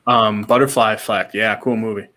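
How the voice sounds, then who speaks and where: Low-pitched man in casual podcast chat. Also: steady tone, normal speed, pushed and bright. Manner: laid-back, slangy, repeats short reactions. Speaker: podcast co-host. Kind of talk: casual chat, reading viewer comments.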